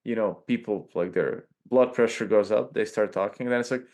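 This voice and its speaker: A man with a Serbian accent, a podcast guest talking in a loose, animated way and tagging lines with "right?". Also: fairly low pitch, a little fast. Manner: animated, peppers speech with "like" and "right?". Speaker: podcast guest, tech company chief. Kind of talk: casual interview talk.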